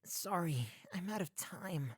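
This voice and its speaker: A woman voicing a small, gentle spirit cat who is calm, fatherly and protective, in an anime fan dub. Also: fairly low pitch, slow pace, breathy edge, no echo, studio quality, exaggerated voice. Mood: weary.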